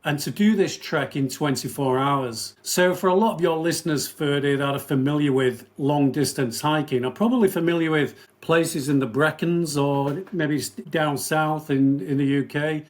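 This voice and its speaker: A man from northern England speaks as a guest with a slightly raised pitch. He explains and tells stories in a steady, measured way and often turns to the host by name. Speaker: guest, event organizer. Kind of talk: interview answers.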